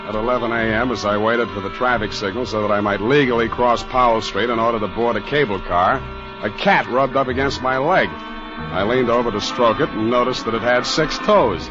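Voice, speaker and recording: An actor playing a wry, wisecracking private detective in 1940s radio drama style. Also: male voice, medium pitch, natural voice. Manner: wisecracking, tongue-in-cheek. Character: wry, hard-boiled male private detective. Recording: dull 1940s radio recording, organ-style music behind.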